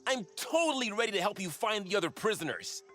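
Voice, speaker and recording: A man playing an eager, geeky young sidekick in an animated game, chatty and earnest. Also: high voice, fast talker, faint music behind. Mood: excited. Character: eager, geeky young comic-book-loving sidekick friend. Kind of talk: animated game cutscene acting.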